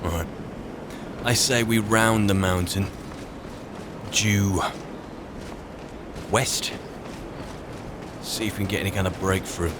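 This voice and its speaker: A low-pitched adventurer, played by a man in a fantasy game roleplay, musing wryly as he travels. Clear background noise of game ambience runs behind the voice. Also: steady tone, slow pace, long pauses. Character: wry adventurer musing aloud on a mountain trek. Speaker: player roleplaying a game character on a let's play channel. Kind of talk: in-character improvised game narration.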